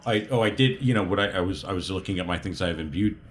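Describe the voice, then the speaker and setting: A man's voice: low-pitched, fast. A man playing a tabletop game, chatting casually about his dice rolls and character. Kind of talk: casual chat. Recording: clear background noise.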